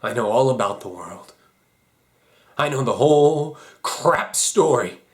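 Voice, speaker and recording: A man playing an anguished, idealistic young American war veteran, guilt-ridden and outraged, in an intense, halting dramatic stage monologue. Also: medium pitch, lively intonation, pitched below the performer's own voice, lightly acted. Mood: bitter, urgent. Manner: intense, halting, emphatic, emotional.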